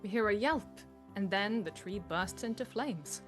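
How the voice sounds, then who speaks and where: A woman's voice: medium pitch, lively intonation, measured pace, music in the background. A woman reading stage directions as the narrator of a live script table read.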